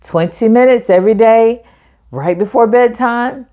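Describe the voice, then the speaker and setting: A woman's voice: a little high, warm tone. A woman hosting a children's storytime, speaking directly and encouragingly to young listeners as she reads aloud. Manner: encouraging, addresses children directly, deliberate phrasing. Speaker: storytime host. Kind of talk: children's read-aloud narration.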